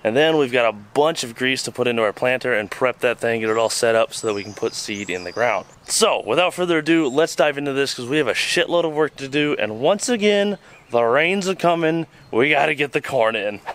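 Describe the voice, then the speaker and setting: A man's voice: mid-range voice, expressive, brisk, a bit bright. A man hosting a vlog, chatting casually and joking as he works on an old tractor outdoors, sometimes muttering to himself. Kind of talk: casual how-to narration while working. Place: outdoors on a farm.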